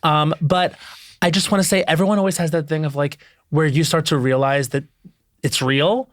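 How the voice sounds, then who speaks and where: Man in his thirties, fairly high voice, dry close sound, excitable podcast co-host. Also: normal speed, no room sound. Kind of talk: casual chat.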